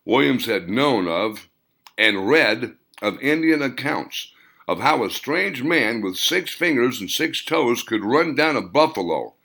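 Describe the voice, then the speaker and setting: Gravelly, expressive male narrator. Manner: expressive storytelling with wide pitch swings. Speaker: author and narrator. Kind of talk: audiobook narration.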